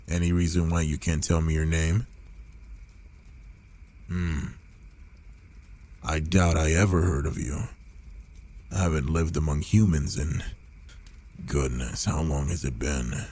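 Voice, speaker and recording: Man voicing a very deep, slow-talking gruff werewolf. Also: darkish tone, full character voice. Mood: awkward, softening. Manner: slow, halting, murmured delivery with pauses. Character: gruff, reclusive male werewolf, reluctantly caring and protective. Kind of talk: ASMR boyfriend roleplay.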